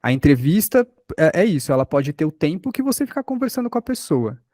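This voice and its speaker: A man's voice: on the high side, big pitch swings, fast talker, dark tone, no echo, studio quality. Brazilian man teaching an informal workshop. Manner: rhetorical questions and check-ins. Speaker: podcast workshop instructor. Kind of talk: informal teaching, explaining with examples.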